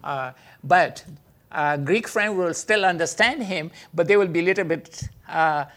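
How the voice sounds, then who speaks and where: A man giving an informal teaching talk, full of hesitations and filler sounds, speaking English with non-native phrasing. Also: measured pace. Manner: hesitant, many filler sounds, lively pitch jumps. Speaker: speaker teaching about Islam.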